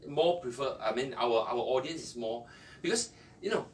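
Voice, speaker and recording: Distant-sounding Malaysian man, halting English. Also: mid-range voice, animated. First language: Chinese (Cantonese and Mandarin). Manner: halting, non-native English phrasing. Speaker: interview guest, entrepreneur and former radio announcer. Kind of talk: conversational interview answers. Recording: distant mic with faint background noise.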